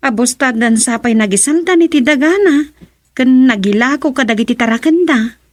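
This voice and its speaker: A fretful townswoman in an Ilocano radio drama. Her voice is high and recorded dry and close. She has an Ilocano accent and a worrying, careful temper. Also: expressive, normal speed, a little mellow, dry recording. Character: worried, fretful townswoman wary of illegal recruiters.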